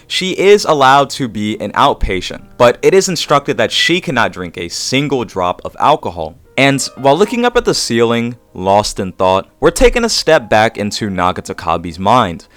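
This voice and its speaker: A man's voice: lowish voice, fairly bright tone. A man narrating a scripted video essay in an introspective, confessional way.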